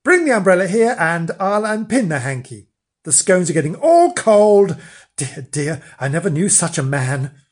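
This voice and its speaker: A man voicing a bossy, impatient wife in a children's story, nagging and scolding in brisk, exasperated commands. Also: a little high, fairly even intonation, a little mellow, slightly rough. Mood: annoyed.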